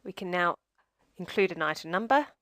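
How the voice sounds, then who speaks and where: Low-voiced woman narrating a software tutorial. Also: expressive, brisk, dry recording, studio quality. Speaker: software demonstrator.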